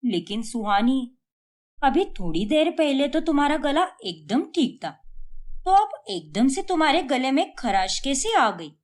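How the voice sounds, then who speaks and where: A woman's voice: high-pitched, very animated, measured pace. A woman voicing a proud young daughter-in-law in a cartoon story, firm and indignant. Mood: suspicious.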